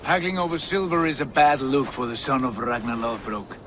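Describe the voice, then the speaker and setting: An actor playing a confident Viking jarl in a video game cutscene, speaking in a smooth, reassuring way. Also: male voice, medium pitch, lively intonation, moderate pace, background noise, lightly acted.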